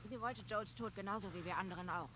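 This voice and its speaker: A woman's voice: medium pitch, fast talker, a crowd in the background, lightly acted. An actress voicing a tough, guarded young woman soldier in a video game, curt and blunt. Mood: annoyed. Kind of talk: German game dub.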